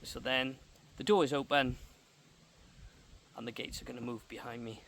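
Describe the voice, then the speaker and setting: A man presenting as a repair technician in an informal explainer, going step by step with halting pauses while filming outdoors. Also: mid-range voice, steady tone, creaky, background noise. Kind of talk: informal explainer vlog from a job. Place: outdoors beside an electric gate.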